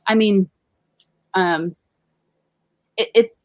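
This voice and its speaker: A woman's voice: mid-range voice, extremely slow, no echo. A woman from Bosnia talking casually and frankly as an interview guest, at ease with swearing. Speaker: interview guest, professional dominatrix and educator. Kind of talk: casual, candid interview chat.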